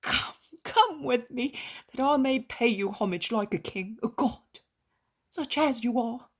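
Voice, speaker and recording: A woman's voice: on the high side, expressive, a little slow, voice pushed up. A woman voicing a repentant male innkeeper who pleads and praises fervently. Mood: joyful.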